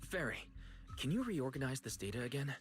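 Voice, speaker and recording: An actor voicing a calm, earnest young male investigator in a video game, pondering and explaining things deliberately. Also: medium pitch, over music, lightly acted.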